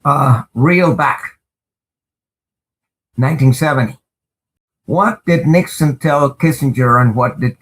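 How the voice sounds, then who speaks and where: An older man whose first language is Spanish, a lawyer answering interview questions in a measured way, full of "uh" pauses and pointed emphasis. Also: on the high side. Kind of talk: interview answers, recounting experiences.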